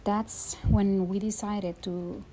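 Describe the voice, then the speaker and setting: Earnest Puerto Rican woman, mellow voice. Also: mid-range voice, little pitch movement, slow pace, a little hiss or hum. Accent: Puerto Rican Spanish. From Puerto Rico. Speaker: speaker. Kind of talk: personal story talk.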